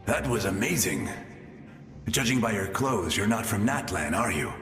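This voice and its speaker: An eager young male warrior from a video game, speaking with lively, swinging intonation. He sounds earnest, in a long echoing reverb with music behind. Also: on the low side, moderate pace. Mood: curious.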